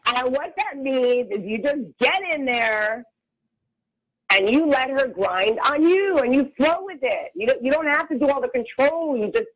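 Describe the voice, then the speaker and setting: A woman's voice: high voice, animated, normal speed, dull audio. A woman chatting candidly as a podcast guest, frank and full of restarts. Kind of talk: casual chat.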